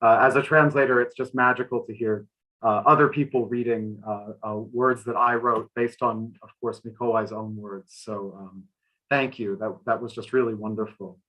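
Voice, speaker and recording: A man working as translator and interpreter at a live talk, speaking haltingly with repeated words. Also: mid-range voice, fairly even intonation, sharp tone, poor audio.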